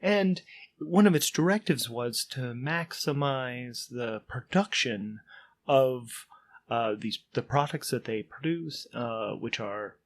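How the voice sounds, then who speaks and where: A man's voice: medium pitch, expressive, slow. Guy doing a polite nervous sci-fi passenger, super wordy and formal, keeps hedging and stumbling. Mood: awkward, explanatory. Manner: hesitant, wordy, euphemistic, formal phrasing. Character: Ulan, polite, fretful male passenger explaining awkward matters.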